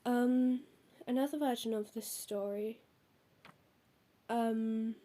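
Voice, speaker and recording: A woman's voice: on the high side, fairly even intonation, takes it slowly, warm tone. A young woman with a cold and a stuffy nose, hosting and reading out folklore notes, hesitant with many ums and apologies. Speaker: host.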